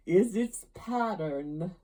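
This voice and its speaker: A grave, tormented storybook ghost, acted out by a woman, bound in chains and full of sorrow. The words come out very slowly in long, loud, declamatory wails, with the pitch lifted above a soft-toned base. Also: lively intonation, full character voice. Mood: grave, doleful. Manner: slow, declamatory, drawn-out wailing. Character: anguished male ghost in chains, mournful and grave.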